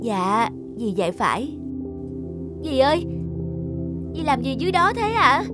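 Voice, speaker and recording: Woman doing a timid cartoon girl, high-pitched, polite, plaintive, obedient, music underneath. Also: big pitch swings, unhurried. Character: meek, obedient young orphan girl.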